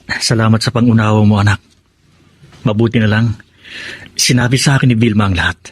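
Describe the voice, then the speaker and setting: A male actor playing a polite, grateful, doting father in a radio drama, with a gentle delivery. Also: on the low side, steady tone, a little fast, breathy edge. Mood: tender, apologetic.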